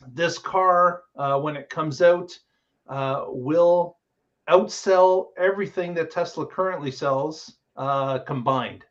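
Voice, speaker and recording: A man's voice: fairly high pitch, highs cut off. A man hosting a casual podcast, talking through the week's news with many filler words. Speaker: podcast host.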